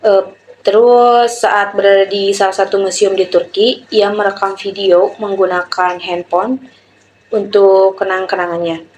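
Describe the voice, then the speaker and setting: A woman's voice: mid-range voice, little pitch movement, unhurried, darkish tone, slight background noise, low-quality recording. A young woman giving a prepared student presentation, telling and explaining an example. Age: young adult.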